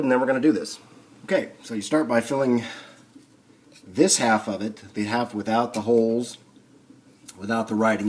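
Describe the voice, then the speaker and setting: A man thinking aloud in an unhurried way as he gives a casual hands-on tutorial at a workbench. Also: fairly low pitch. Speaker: hobbyist demonstrating a restoration. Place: indoor home workshop. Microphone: camera on the workbench, speaker off-screen. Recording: noisy camera audio, background noise.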